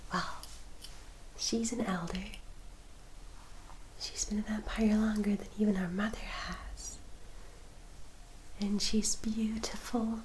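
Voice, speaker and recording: A woman voicing a gentle, loyal young vampire in a whispered ASMR roleplay, speaking in a careful, slightly formal way. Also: medium pitch, slight background noise, close to an everyday voice, poor audio. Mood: hushed, reverent.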